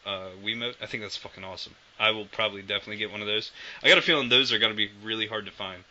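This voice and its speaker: Bright-voiced rambling podcast guy. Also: lowish voice, rapid-fire. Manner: rambling, swears casually, laughs often. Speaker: podcast co-host. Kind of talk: casual chat. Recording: dull lo-fi podcast audio with faint noise.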